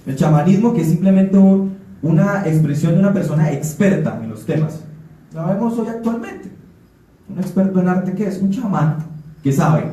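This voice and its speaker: A man's voice: on the high side, dark tone. A man from Colombia giving an earnest talk at a forum, often restarting and repeating words. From Colombia (Putumayo). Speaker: panel speaker. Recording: echoey room, speaker far from mic.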